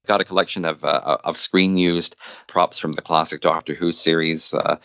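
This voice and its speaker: Man, very low pitch, earnest, talkative, casual interview chat, lo-fi dry audio. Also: steady tone, moderate pace, vocal fry, fairly bright tone, no room sound. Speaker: guest, independent filmmaker.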